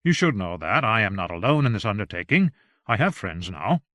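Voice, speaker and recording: A man voicing an anxious, mild-mannered gentleman schoolmaster in an audiobook, protesting politely with flustered indignation. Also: on the low side, very animated, fairly quick, no room sound. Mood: flustered, indignant.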